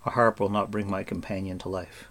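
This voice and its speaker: A man's voice: low-pitched, flat delivery, fairly quick, fairly bright tone. A man performs a young sword-swinging hero from a fantasy storybook. He is blunt and commanding, with a bitter, accusing edge. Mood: bitter, grieving.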